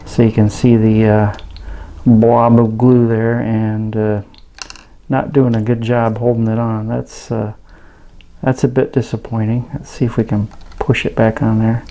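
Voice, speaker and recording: A man giving a casual product review in a halting, understated way. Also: on the low side, flat delivery, mellow. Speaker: radio reviewer. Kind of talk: casual unboxing review narration.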